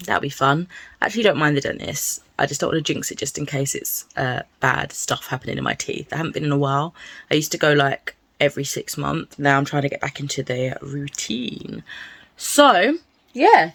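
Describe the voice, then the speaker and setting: A woman's voice: sits low, expressive, normal speed, gravelly, a bit bright, no room sound. A woman talking casually to her viewers as a book vlogger, in a playful, rambling, self-deprecating way. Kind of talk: casual chatty vlog to viewers.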